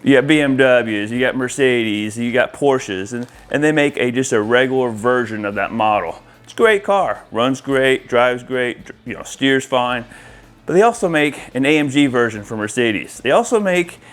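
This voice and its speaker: A man with a mid-pitched voice gives a casual walkthrough as an installer. He speaks informally and directly to his viewers, explaining hands-on work as he goes. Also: animated, moderate pace. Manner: informal, addresses viewers as guys. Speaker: audio-video installer presenting to viewers.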